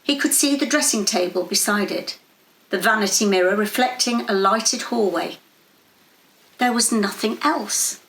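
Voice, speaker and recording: Creaky-voiced woman narrating a story. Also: mid-range voice, a little slow, fairly bright tone, roomy sound, slight background noise, poor audio. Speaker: story reader. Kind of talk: scripted literary narration.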